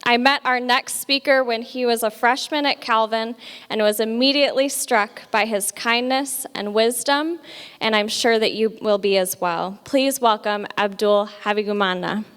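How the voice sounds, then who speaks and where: A woman presenting at an event, warmly introducing the next speaker. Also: fairly high pitch, fairly even intonation, moderate pace, smooth. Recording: echoey hall.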